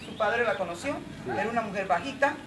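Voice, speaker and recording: A woman's voice: mid-range voice, expressive, fairly quick, noisy background. Proud woman, distant and roomy. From Colombia. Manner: emphatic, proud, storytelling. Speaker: panelist at a community forum. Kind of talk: impassioned personal talk. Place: outdoor patio.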